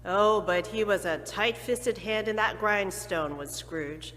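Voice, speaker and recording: A woman narrating a dramatic reading of a classic story with expressive, theatrical pacing. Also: medium pitch, takes it slowly, in a large hall, faint music behind.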